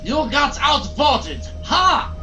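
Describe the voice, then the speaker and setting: A woman's voice: high voice, big pitch swings, slow, bright, forceful tone, faint music behind, exaggerated voice, rough recording. A woman playing a gleeful, bratty dictator in a robot body with a put-on German accent, in a comic audio drama.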